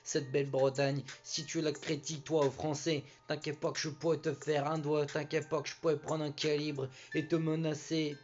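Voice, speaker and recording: Rambling boastful freestyle from a man, slightly higher voice, muffled mix over a beat. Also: flat delivery, normal speed, hardly any pauses, a little mellow, faint music behind. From Brittany. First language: French. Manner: rambling, repetitive boastful flow. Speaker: rapper. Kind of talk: freestyle rap.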